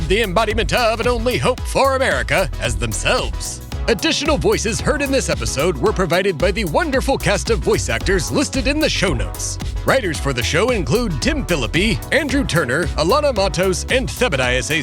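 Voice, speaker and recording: A man's voice: high voice, big pitch swings, normal speed, few pauses, over music. A man hosting and starring in a comedy audio drama, talking in a formal, pompous way. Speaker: host, lead character.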